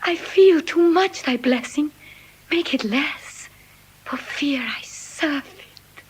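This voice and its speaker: An actress with an English RP accent playing a tender, devoted young noblewoman, in heartfelt, measured Shakespearean verse. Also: sits high, animated, a little hiss or hum, low-quality recording. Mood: overjoyed, breathless. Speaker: stage actor. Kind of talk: classical Shakespearean verse acting.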